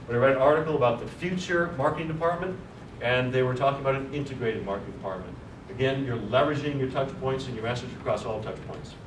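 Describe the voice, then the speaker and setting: A man giving a brisk conference talk on a panel, explaining points one after another. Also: mid-range voice. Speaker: panel speaker. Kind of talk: conference presentation. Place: large office meeting room. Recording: echoey room, distant mic, noisy.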